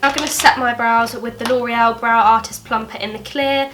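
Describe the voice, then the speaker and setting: A woman's voice: fairly high pitch, fairly even intonation, normal speed, over music. Young woman with a head cold. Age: young adult. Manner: chatty, friendly, many ums. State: has a cold, stuffy. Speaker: beauty vlogger. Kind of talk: makeup tutorial. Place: bedroom desk indoors.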